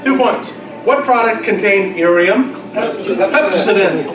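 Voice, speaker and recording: A man with a notably high voice hosts a live quiz. The microphone sits away from him in an echoey room, and a loud crowd surrounds his voice. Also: lively intonation, slow pace, distant mic. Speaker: quiz master. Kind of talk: live quiz hosting at a club luncheon. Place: indoor luncheon room with an audience. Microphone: distant microphone in the room. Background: loud crowd chatter.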